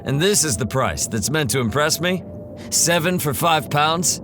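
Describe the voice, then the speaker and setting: Dry, commanding monster hunter, lively pitch, loud music behind. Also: male voice, unhurried. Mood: terse. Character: stoic male monster hunter hero. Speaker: narrator and lead. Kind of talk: audio drama acting.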